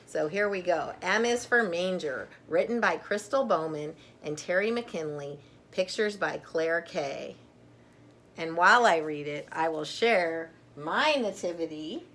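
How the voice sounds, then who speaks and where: Middle-aged woman storyteller, unhurried, lowish voice. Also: a bit bright. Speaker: storyteller reading a children's book. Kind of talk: read-aloud picture book with chatty asides.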